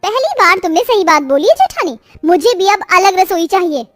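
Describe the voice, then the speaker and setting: A woman's voice: extremely high pitch, very animated, normal speed, a bit bright, no room sound, clean studio sound, soft background music, full character voice. A woman playing an irritable, complaining young daughter-in-law in a moral-story cartoon, with a scolding, exasperated manner. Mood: angry, fed up.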